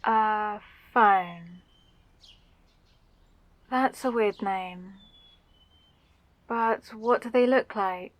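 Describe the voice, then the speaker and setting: A woman voicing a friendly, caring and curious female mage in a fantasy roleplay audio, speaking gently and attentively. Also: mid-range voice, extremely slow, long pauses, no echo. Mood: curious, eager. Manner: gentle, eager, attentive questioning. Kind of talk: roleplay audio drama for the listener.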